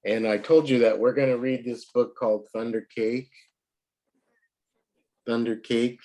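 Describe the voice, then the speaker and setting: A man tutoring a child in English, patient and a little hoarse from a cold, often clearing his throat. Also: medium pitch, fairly even intonation, measured pace, dark tone, poor audio. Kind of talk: online one-to-one lesson.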